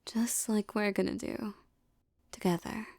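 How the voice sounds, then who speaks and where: A woman playing a tough, doting young mafia boss girlfriend in an intimate ASMR romance roleplay, talking softly in tender, teasing street slang. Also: medium pitch, fairly even intonation, measured pace, gravelly, dry recording, studio quality. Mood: dreamy, assured.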